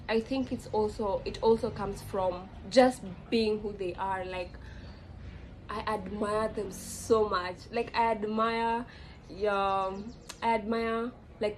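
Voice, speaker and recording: A woman's voice: mid-range voice, a little hiss or hum, poor audio. Young Kenyan woman musing casually. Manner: rambling, frequent fillers and self-corrections. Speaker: vlogger. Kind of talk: casual reflective monologue.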